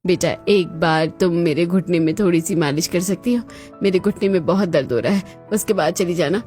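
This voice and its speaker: A woman's voice: on the low side, fairly quick, warm tone, soft background music, close to an everyday voice. A woman playing a curt older mother-in-law in a cartoon story. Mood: pleading.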